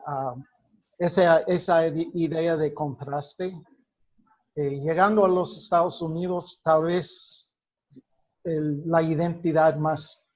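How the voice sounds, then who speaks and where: A male teacher talks his class through a lesson at an unhurried, deliberate pace, often restarting his phrases and putting questions to the room. His voice sits a little high for a man. The recording is muffled and lo-fi. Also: darkish tone. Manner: slow, halting delivery with many restarts, quizzing the class. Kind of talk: classroom lecture. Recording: dull lo-fi recording.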